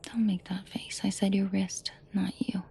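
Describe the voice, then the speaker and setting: A woman playing a protective mafia boss in an intimate audio drama, soft and breathy with frequent whispering, commanding and dryly teasing. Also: mid-range voice, flat delivery, moderate pace, a little hiss or hum, lightly acted. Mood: tender, teasing. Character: protective female mafia boss, menacing to henchmen, tender to victim.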